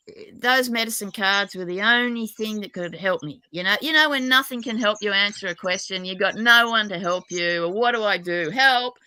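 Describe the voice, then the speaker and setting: A woman's voice: medium pitch, sharp tone, smooth, no echo, poor audio. A woman from New South Wales with a broad Australian accent, chatting casually in a candid, self-deprecating, rambling way as a guest. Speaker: guest dowser. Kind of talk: casual chat.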